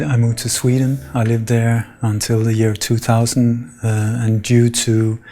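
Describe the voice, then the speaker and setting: A middle-aged man from Denmark giving a conference talk, earnest and halting, with frequent filler sounds. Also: fairly low pitch, warm tone. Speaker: conference speaker.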